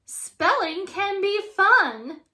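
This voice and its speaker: A woman's voice: extremely high pitch, sing-song, extremely slow, sharp tone, pitched above the performer's own voice, exaggerated voice. A woman voicing a gleeful, menacing monster schoolteacher in a children's storybook, commanding and smirking. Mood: gleefully wicked.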